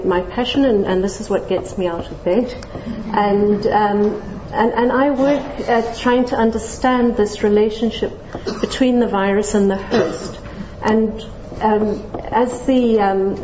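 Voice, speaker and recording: Middle-aged woman from Zambia, scientist lecturing to a general audience; mellow, friendly, dry humor, lots of fillers; dull, roomy hall sound. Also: mid-range voice, slight background noise. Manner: friendly, with light self-deprecating humor and many fillers. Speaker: scientist giving a public lecture.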